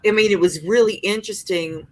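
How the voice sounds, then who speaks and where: A woman's voice: mid-range voice, fairly quick, a little mellow. An older woman, a singer-songwriter, talking reflectively and expressively about her craft in a podcast interview, often restarting her sentences.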